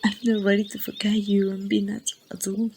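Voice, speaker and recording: A woman playing a grieving young woman saying goodbye to a lost loved one in an ASMR roleplay, speaking softly and haltingly, at times near a whisper. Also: mid-range voice, level tone, lightly acted. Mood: heartbroken, reluctant. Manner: soft, halting, breathy delivery slipping toward whispers. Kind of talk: amateur ASMR roleplay monologue. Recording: outdoor ambience with birdsong, faint noise.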